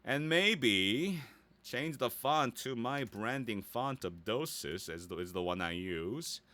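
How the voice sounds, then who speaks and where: A man giving a casual, easygoing software tutorial, thinking aloud and muttering small asides as he works. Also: medium pitch, animated, slow. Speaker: voice actor giving a website-building tutorial.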